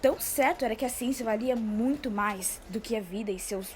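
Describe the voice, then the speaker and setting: A woman's voice: a little high, brisk, natural voice. A young Brazilian woman playing a male interpreter who earnestly relays grand speeches in an amateur radio drama. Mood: earnest, declamatory. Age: teenager or young adult. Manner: declamatory, earnest storytelling. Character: male interpreter friend relaying boastful orators' grand claims. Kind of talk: student radio drama of literary classic. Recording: faint background noise.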